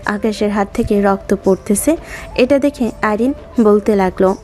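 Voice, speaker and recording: A woman's voice: medium pitch, normal speed, dark tone. A woman reading scripted dramatic story narration as the narrator. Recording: music under the voice.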